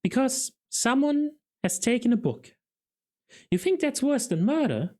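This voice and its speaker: A man's voice: sits high, big pitch swings, measured pace, a little mellow, voice pushed up. A man voicing an earnest, naive young male city watchman, eagerly puzzling out guesses.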